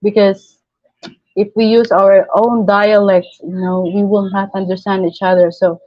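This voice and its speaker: A woman's voice: mid-range voice, level tone, unhurried, highs cut off. A woman from the Philippines with a Filipino accent, hosting a casual live stream, chatting and reading viewer comments aloud with frequent laughs.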